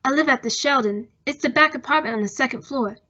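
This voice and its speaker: A woman playing a brisk, no-nonsense young cigarette girl from a nightclub in an old-time radio detective drama. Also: high-pitched, pushed and bright, highs cut off. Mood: guarded.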